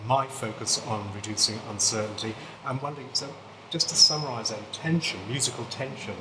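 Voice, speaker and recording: A middle-aged man with an English accent, a quiet, soft-spoken academic panelist who restarts his sentences often. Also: medium pitch, fairly quick. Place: seminar room with a panel table. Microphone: table microphone. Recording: echoey room with background noise.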